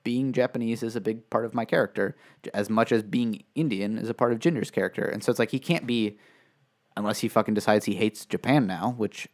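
A man's voice: on the low side, brisk, darkish tone. A man co-hosting a casual wrestling podcast, rambling and restarting his sentences, with frequent chuckles. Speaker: podcast co-host.

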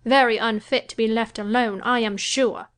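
High-voiced young wife, self-pitying and self-important, forever complaining, period novel dramatisation female actor performing. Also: steady tone, moderate pace, fairly bright tone, lightly acted. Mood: querulous, self-pitying. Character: self-pitying, querulous young married gentlewoman, fancying herself ill. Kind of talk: dramatised classic novel reading.

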